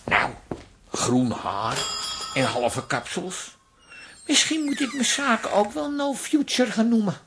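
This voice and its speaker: Female as chatty hairdresser for radio comedy: wry, gossipy, highish voice, very lively intonation. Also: slow pace, full character voice. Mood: wry, teasing. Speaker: radio drama actor. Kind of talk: comic radio play acting.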